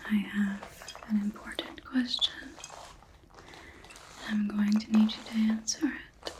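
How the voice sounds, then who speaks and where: A woman playing a menacing, scornful vampire hunter in an ASMR roleplay, whispering slowly and softly, close to the microphone, as she questions a captive. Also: mid-range voice, a little hiss or hum, close to an everyday voice, low-quality recording. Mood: cold, probing. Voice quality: soft, breathy whisper. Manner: slow, deliberate, mocking questioning.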